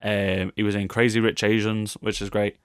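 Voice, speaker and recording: A man's voice: lowish voice, little pitch movement, normal speed, clean tone, no room sound, studio quality. A British man co-hosting a podcast, chatting casually about film news with playful, joking asides. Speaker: podcast co-host.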